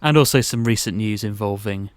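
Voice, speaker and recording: A man's voice: lowish voice, brisk, clean tone, no room sound, clean studio sound. A man co-hosting a podcast about a theatre company in casual discussion.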